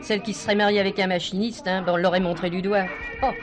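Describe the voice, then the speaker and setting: A woman's voice: medium pitch, fairly even intonation, quick pace, natural voice. An actress as proud, nostalgic old showgirl, music behind. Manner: chatty, emphatic, colloquial. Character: nostalgic, proud, gossipy older former showgirl. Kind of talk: French stage comedy-mystery acting.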